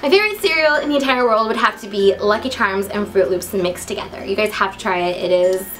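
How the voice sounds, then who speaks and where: A woman's voice: medium pitch, quick pace, fairly bright tone, low-quality recording. A young woman with an American accent chatting casually to camera as a vlogger, in a giggly, upbeat and talkative manner. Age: young adult. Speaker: vlogger introducing herself.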